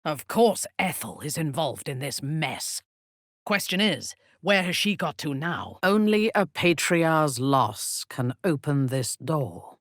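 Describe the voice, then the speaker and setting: A woman voicing a ruthless, haughty warrior zealot for a video game, speaking in an imperious, measured, menacing way. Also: deep voice, big pitch swings, no echo, studio quality, exaggerated voice. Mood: stern, assured. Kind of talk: video game character voice lines.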